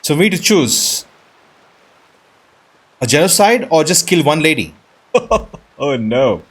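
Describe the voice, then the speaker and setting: A man's voice: fairly high pitch, big pitch swings, slow, husky. A man doing casual game commentary, thinking aloud in an informal, reactive way. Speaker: gaming video host playing and commenting. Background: game music.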